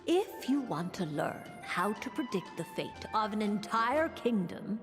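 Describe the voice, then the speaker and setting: An actress playing a wry elderly astrologer mentor in a video game, speaking a measured, theatrical, cryptic monologue. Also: female voice, medium pitch, very animated. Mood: solemn, mysterious. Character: wry elderly woman astrologer mentor reading her letter aloud. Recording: long echoing reverb over music.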